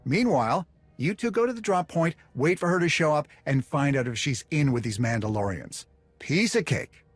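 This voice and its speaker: Game role: self-serving smuggler, glib and jittery, very animated delivery, slightly husky. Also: male voice, a little high, normal speed, no room sound. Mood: glib, scheming. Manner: glib, wheedling, stammers when cornered. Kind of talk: video game voice acting.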